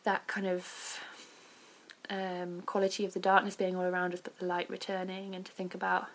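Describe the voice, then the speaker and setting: A woman hosting a podcast as an informal, reflective solo chat, with hedging asides and a creaky voice. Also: lowish voice, completely flat delivery, normal speed. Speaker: podcast host.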